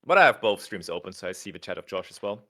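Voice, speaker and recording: Chatty German-accented man with a lively voice. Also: low-pitched, fast. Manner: chatty, laughs often, thinks aloud. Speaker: tournament co-commentator. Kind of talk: casual livestream commentary, reading chat aloud.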